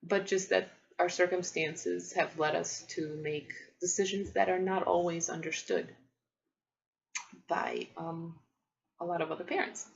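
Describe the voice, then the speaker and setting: A woman in her thirties whose first language is Polish, talking casually to camera about parenting with many pauses and ums. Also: on the low side, vocal fry, dull audio. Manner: thoughtful, many ums and restarts. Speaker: parenting vlogger. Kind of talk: casual vlog talk to camera.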